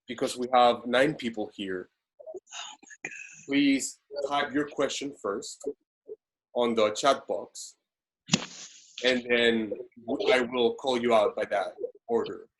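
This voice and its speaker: A young adult man speaks at a medium pitch. He moderates an online session in a casual way over a video call. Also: slow pace, long pauses, low-quality recording.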